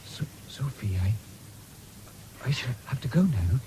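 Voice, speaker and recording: A woman's voice: extremely low pitch, big pitch swings, a little slow, heavily acted. A woman playing a shy, faltering adolescent farm boy in a radio drama. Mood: awkward. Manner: hesitant, faltering. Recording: noisy 1970s radio recording.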